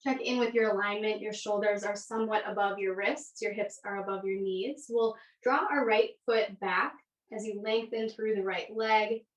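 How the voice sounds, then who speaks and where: A woman leading a yoga class as the instructor, giving gentle, unhurried cues with pauses between them. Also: mid-range voice, steady tone. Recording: echoey room, distant microphone.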